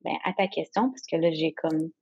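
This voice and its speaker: A woman's voice: deep voice, normal speed, a little mellow, breathy edge, lo-fi sound. A woman in her thirties, a native English speaker, speaking French as a guest in an interview, reflective and searching for words. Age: 30s. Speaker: guest entrepreneur. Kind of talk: candid conversational interview.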